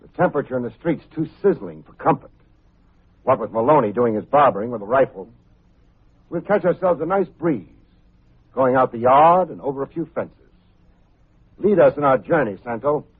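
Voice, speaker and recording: An actor playing a wry, self-assured hard-boiled private detective in a vintage radio drama, full of sardonic slang. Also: male voice, mid-range voice, lively intonation, moderate pace, pauses often, slightly rough. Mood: wry, commanding. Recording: muffled, tinny vintage radio recording.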